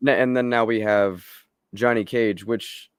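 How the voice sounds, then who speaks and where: A man's voice: lowish voice, steady tone, unhurried, smooth, no room sound, clean studio sound. A man in casual, opinionated panel chat about movies, emphatic and given to swearing. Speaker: show host and film commentator.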